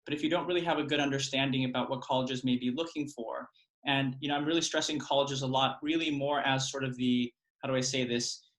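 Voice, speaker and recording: A man's voice: mid-range voice, steady tone, fast talker, fairly bright tone. A man working as a college admissions consultant, introducing himself on a video call in an earnest, hesitant way with many fillers.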